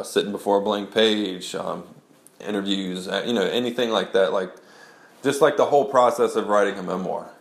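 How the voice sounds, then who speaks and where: A man's voice: deep voice, gravelly, echoey room. A man recording a casual audio blog about memoir writing, talking through a congested head cold and rambling with many restarts. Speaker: blogger and memoir writer.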